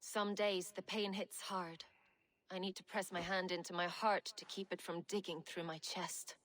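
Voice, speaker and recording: A woman's voice: lowish voice, flat delivery, normal speed, fairly bright tone, close to an everyday voice. An actress playing a wry Viking woman and former smuggler who speaks dryly, with a wistful edge. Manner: dry, sardonic, wistful. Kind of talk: game dialogue.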